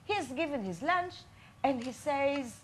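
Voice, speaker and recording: A woman's voice: high voice, lively intonation, unhurried, a little hiss or hum. A woman from Israel, speaking as a tour guide in an apologetic, chatty, confiding way. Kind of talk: stage monologue.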